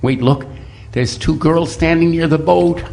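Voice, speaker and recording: A high, fluttery, stammering fussbudget. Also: male voice, a little slow, gravelly, a little mellow. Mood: hesitant, meek. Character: fussy, timid, meek male neighbor, easily flustered. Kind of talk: old-time radio comedy acting. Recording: live stage re-creation, some noise.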